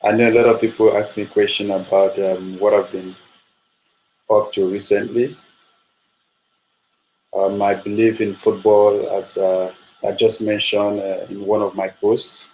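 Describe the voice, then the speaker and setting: Football coach, low voice, pretty monotone, lots of ums, goes over the same points again. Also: male voice, slight background noise, low-quality recording. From Nigeria. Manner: measured, repetitive, with frequent fillers. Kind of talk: spoken coaching talk.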